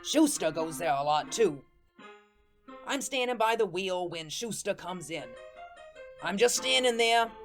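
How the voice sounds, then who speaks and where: A woman's voice: medium pitch, animated, a little slow, pauses often, faint music behind, lightly acted. A woman playing a wry, good-natured ghost who narrates a radio play in slangy, streetwise, colloquial style. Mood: wry storytelling. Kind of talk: first-person radio play storytelling.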